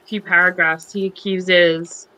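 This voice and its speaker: A woman's voice: fairly low pitch, little pitch movement, unhurried, bright, forceful tone, poor audio. A woman reading on a charity livestream, moving between dramatic book reading and casual asides in a wry, mocking manner.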